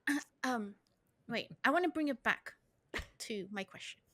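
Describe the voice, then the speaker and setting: A woman hosting a casual podcast interview, speaking in a halting, informal way. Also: fairly high pitch, animated, pushed and bright. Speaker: podcast host.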